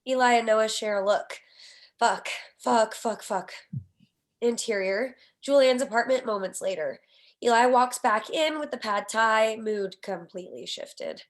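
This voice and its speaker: A woman with a fairly high voice reads out screenplay directions at a table read. Her delivery is expressive and wry, setting each scene as a narrator. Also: measured pace. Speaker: stage directions reader.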